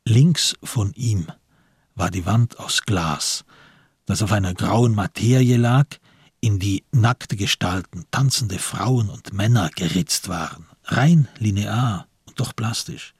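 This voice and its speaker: A man with a gravelly, husky voice giving literary narration in a radio crime drama. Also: lowish voice, slow, no echo, clean studio sound.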